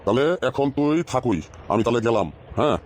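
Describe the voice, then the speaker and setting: A man plays an exasperated village teacher in a comic cartoon. He lectures and scolds in colloquial rural Bangladeshi Bengali, at an ordinary male pitch. A light layer of noise runs under the recording. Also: fairly quick, natural voice. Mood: scolding, lecturing.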